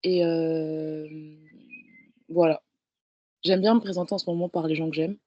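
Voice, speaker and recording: Low-voiced young woman in dry sound. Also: steady tone, unhurried, no room sound, dull audio. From Paris, France. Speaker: guest, professional handball player. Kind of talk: casual reflective conversation.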